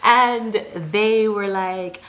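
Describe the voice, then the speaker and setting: A woman from Barbados telling a personal story to camera as a vlogger, in an animated, chatty and emphatic way. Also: medium pitch, drawn-out words.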